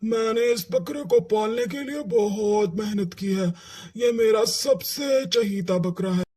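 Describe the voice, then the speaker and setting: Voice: medium pitch, unhurried, mellow, lightly acted. A proud, stubborn male livestock trader as acted for a children's cartoon. He speaks firmly and emphatically, refusing to budge, with music under the voice. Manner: firm, emphatic haggling. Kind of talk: Hindi children's cartoon dialogue.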